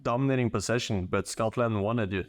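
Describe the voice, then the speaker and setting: A young man speaks in a low voice with a dry, close sound. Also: brisk, no echo. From Norway. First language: Norwegian. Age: young adult. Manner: informal, slangy. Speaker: podcast guest, college soccer player. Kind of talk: casual interview chat.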